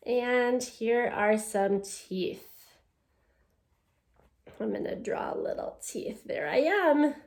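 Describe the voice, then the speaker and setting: Slow-paced woman teaching young children. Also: fairly high pitch. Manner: gentle encouragement, simple child-directed phrasing. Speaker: elementary school teacher. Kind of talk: children's read-aloud and art lesson.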